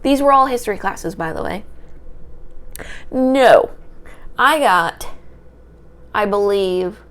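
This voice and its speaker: A woman's voice: medium pitch, lively intonation, slow, a bit bright. A young woman, a history student, talking through an unedited personal vlog into a small microphone. She has a small, light voice and rambles, tripping over her words. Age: young adult. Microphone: small handheld microphone.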